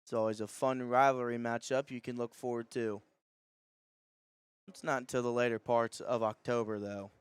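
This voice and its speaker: A man calling live high school football as a radio play-by-play announcer. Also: little pitch movement, moderate pace, smooth, dry recording.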